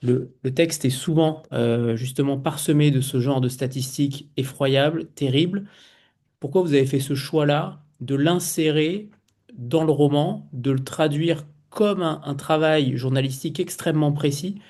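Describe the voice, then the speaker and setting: A man's voice: fairly high pitch, normal speed, a little mellow. A man hosting a literary interview, asking long, multi-part questions and reading out a quote from the book.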